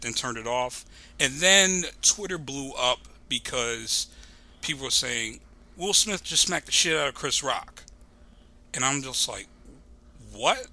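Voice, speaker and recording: Guy guesting on a podcast, really sharp bright voice, rambly casual storytelling, mid pitch. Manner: rambling, digressive.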